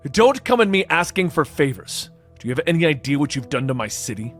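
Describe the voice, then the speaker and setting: A man's voice: a little high, big pitch swings, a little fast, soft background music. Man playing a snappish, suspicious police commissioner. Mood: indignant. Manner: snappish, distrustful. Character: gruff, suspicious male city police commissioner.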